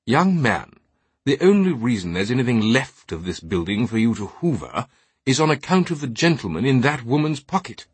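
A man's voice: mid-range voice, sing-song, normal speed, husky, dry recording. Man acting pompous upper-class British older knight. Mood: indignant. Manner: pompous, haughty. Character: imperious, scheming older British knight on crutches.

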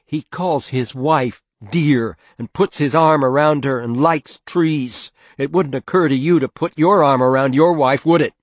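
A man's voice: medium pitch, animated, normal speed, no room sound, pitched above the performer's own voice, close to an everyday voice. A man voicing a long-suffering, persistent farm wife and mother in a storybook reading, pleading and aggrieved. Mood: bitter, angry.

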